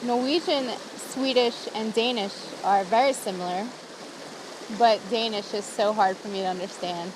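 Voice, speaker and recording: A woman's voice: medium pitch, normal speed, noisy background. An American woman chatting casually to the camera outdoors by a campfire, laughing often as she talks. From USA. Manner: chatty and giggly, talking to camera while cooking. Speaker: vlogger. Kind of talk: casual outdoor vlog chat.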